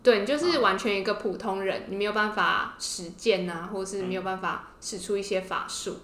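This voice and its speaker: A woman's voice: mid-range voice, a little fast, a bit bright. Woman podcast host in an echoey room. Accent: Taiwanese Mandarin. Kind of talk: casual explanatory storytelling about fiction. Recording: roomy, echoing podcast room.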